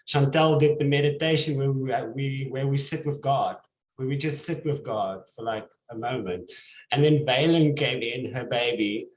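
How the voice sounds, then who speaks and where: A man telling a spiritual story in a casual chat, reverent and slow in places. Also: mid-range voice, a little mellow, echoey room, poor audio. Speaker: guest.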